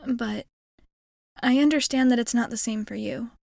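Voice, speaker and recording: A woman's voice: a little high, fairly even intonation, normal speed, gravelly, darkish tone, dry recording. A woman playing a shy, kind young alien girl in an intimate ASMR roleplay, speaking in a hesitant, earnest, rambling way. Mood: wistful, reassuring.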